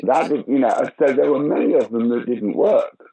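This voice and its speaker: A man's voice: medium pitch, animated, normal speed, warm tone, breathy edge. A man in his sixties being interviewed about his acting career, telling stories animatedly, often restarting and putting on the voices of people he quotes. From United Kingdom. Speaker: actor and director being interviewed. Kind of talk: casual interview chat.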